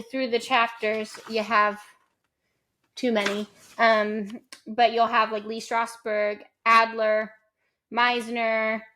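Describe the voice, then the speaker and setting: A woman's voice: mid-range voice, a bit bright. A woman co-hosting a casual chat show, talking loosely with many ums and likes. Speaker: podcast co-host.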